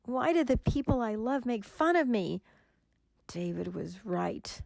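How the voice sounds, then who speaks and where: A woman playing a lonely single woman who feels mocked by her family, in a radio drama, with a gravelly, slow voice. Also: fairly high pitch, expressive, a little mellow, dry recording, studio quality. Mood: hurt. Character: lonely single middle-aged woman, hurt, self-doubting.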